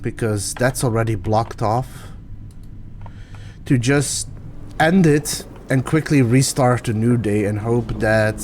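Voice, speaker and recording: A man with a Belgian accent chats casually as he plays, thinking his moves out loud. His voice sits in a mellow, soft tone at a middling pitch. A faint hiss runs under the recording. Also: takes it slowly. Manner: thinking aloud, relaxed and chatty. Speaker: streamer. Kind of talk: casual chat while gaming.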